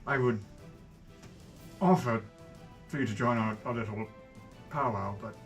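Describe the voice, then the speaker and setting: A man's voice: medium pitch, a little slow, faint music behind. A man who plays a tabletop roleplaying game, speaking casually and jokingly as he relays his character's words.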